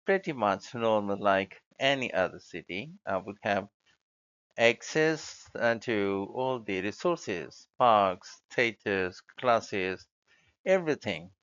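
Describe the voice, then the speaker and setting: A man with an Indian accent giving hesitant, halting answers as a candidate in a spoken exam, on a dull recording. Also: on the low side, expressive, fairly bright tone, clear, resonant tone. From Mumbai, India. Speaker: speaking test candidate. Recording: dull lo-fi audio.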